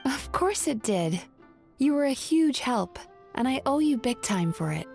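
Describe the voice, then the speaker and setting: A composed, polite spirit woman from a video game. Her voice sits fairly high and she speaks in a thoughtful, measured way, with faint music behind her. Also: sing-song, creaky, darkish tone, full character voice. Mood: grateful, friendly. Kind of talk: video game character acting.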